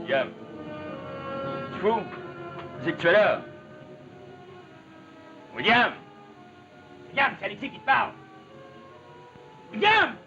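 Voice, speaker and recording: Bright-voiced, bold Quebec log driver. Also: male voice, high voice, wildly swooping pitch, takes it slowly, pauses often, soft background music, heavily acted. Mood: urgent. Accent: Quebec French. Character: Alexis, brawny, bold, sociable backwoods log driver. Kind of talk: 1950s French Canadian television drama acting. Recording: muffled, noisy old television soundtrack.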